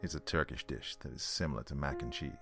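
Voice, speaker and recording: An easygoing male survivor acted by a man looks after his friend in an audio drama. His voice is extremely deep and crackles with gravel. He talks in a folksy, reassuring way, over faint music. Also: quick pace. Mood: calm, caring.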